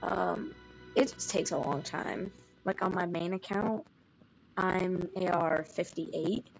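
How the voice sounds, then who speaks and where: A woman's voice: fairly low pitch, steady tone, slow, creaky, muffled recording. A woman streaming live, chatting casually as she plays, with game music behind her. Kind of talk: casual chat while playing a game. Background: video game music.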